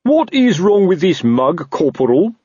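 Fat old officious captain, a man acting, husky and snappish, swooping with self-importance, for a comic fantasy audiobook. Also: medium pitch, sing-song, moderate pace, a little mellow, no echo, lo-fi sound, pitched above the performer's own voice. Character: fat, old, pompous, paranoid, bullying police captain.

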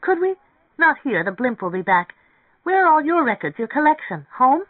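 Man voicing an eager young woman, raised pitch. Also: moderate pace, no echo, phone-quality sound. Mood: excited, insistent. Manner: excitable, inquisitive. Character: eager, naive young woman, bright-eyed and curious.

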